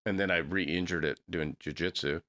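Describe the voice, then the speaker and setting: A man in his fifties with a deep voice, hosting a podcast and telling rambling, stop-start stories in a casual interview. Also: fairly even intonation, normal speed, sharp tone. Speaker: podcast host.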